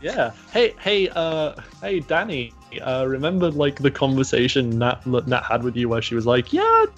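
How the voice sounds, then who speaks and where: A man's voice: mid-range voice, sing-song, normal speed, a bit bright, muffled recording, faint music behind. A man playing a casual voice-chat roleplay, who laughs often and stumbles over his words. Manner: giggly, stammering restarts. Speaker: roleplay player.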